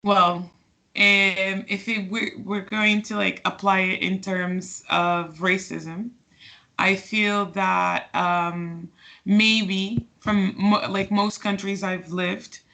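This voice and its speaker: Bright-toned woman in her twenties, gravelly. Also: medium pitch, steady tone, takes it slowly. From Portugal. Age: 20s. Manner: hesitant, many fillers and restarts. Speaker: interview guest. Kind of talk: casual conversational interview.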